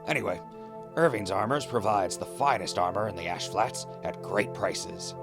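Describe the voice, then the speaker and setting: A man's voice: lowish voice, lively intonation, moderate pace, over music. A man playing a chatty, wry wasteland radio host in an audio drama, casual and easygoing, prone to asides. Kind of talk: radio drama acting with mock sponsor reads.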